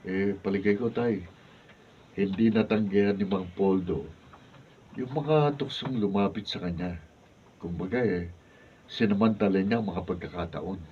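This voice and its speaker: An earnest young college student in a radio drama, a dutiful son. His voice sits slightly low. It is heard from a distance on a dull, noisy studio recording. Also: measured pace, pauses often. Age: young adult. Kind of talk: Filipino radio drama acting. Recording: distant, muffled, noisy studio camera audio.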